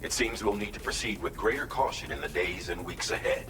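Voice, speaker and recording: A measured male leader from a video game cutscene, played by an actor. His low voice is formal and deliberate, set back in an echoey space with music behind it. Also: lively intonation, lightly acted. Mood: calm, cautious. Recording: echoey, distant, music underneath.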